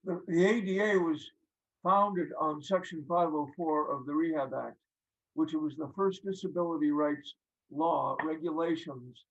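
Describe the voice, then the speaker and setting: A man's voice: a little high, a bit bright, dull audio. An older man speaking conversationally as a guest, telling stories and explaining things over a video call. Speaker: guest author and singer-songwriter.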